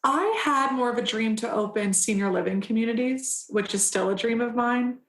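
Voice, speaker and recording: A young adult woman council member answering interview questions in an earnest, measured way, with frequent pauses and restarts. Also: fairly high pitch, fairly bright tone, roomy sound, low-quality recording.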